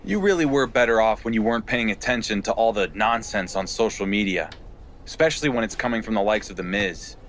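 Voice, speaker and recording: A man's voice: lowish voice, animated, fairly quick, fairly bright tone, a little hiss or hum. A man playing a defiant, dry-witted underdog wrestler in a video game story scene. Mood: weary, defensive. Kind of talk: video game cutscene acting.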